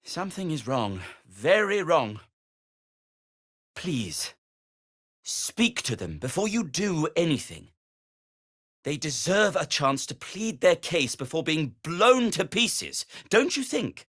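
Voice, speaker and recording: A man's voice: fairly high pitch, very animated, slow pace, fairly bright tone, full character voice. A man playing an earnest, principled gnome in a video game, pleading and moralizing in articulate, emphatic phrases. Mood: earnest concern. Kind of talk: dramatic video game dialogue acting.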